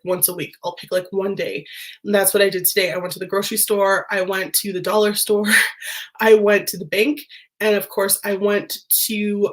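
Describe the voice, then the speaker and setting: A woman talks casually to her viewers in a chatty, rambling way, full of fillers and small laughs. Her voice is nasal and congested, as if she is still getting over being sick. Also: mid-range voice. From United States. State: recovering from illness, congested. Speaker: vlogger. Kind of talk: casual chat to camera.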